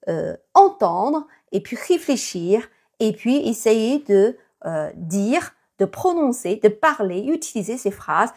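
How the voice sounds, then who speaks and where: Breathy woman teacher. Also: a little high. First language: Chinese. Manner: many fillers, thoughtful, encouraging. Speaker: Chinese teacher. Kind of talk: casual video lesson.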